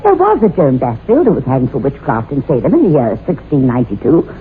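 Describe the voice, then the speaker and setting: A woman's voice: a bass voice, big pitch swings, a little fast, phone-quality sound. A woman playing an imperious older aunt in a radio horror drama, theatrical and commanding, both dismissive and pitying. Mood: scornful, commanding. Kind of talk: old-time radio horror drama acting. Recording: thin, noisy old radio recording.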